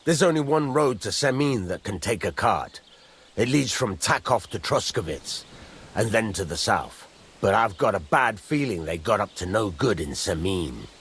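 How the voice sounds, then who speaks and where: An actor playing a blunt, earthy medieval blacksmith boss in a video game, with a rough voice. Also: male voice, on the low side, big pitch swings, normal speed, a bit bright, a little hiss or hum. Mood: businesslike. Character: gruff, plain-spoken medieval blacksmith boss.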